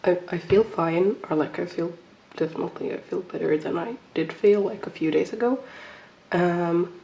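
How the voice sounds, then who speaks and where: A woman's voice: lowish voice, normal speed, creaky, warm tone, poor audio. A woman showing her collection in a casual vlog, rambling with lots of ums and restarts, slightly sick and nasal. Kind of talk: casual show-and-tell vlog.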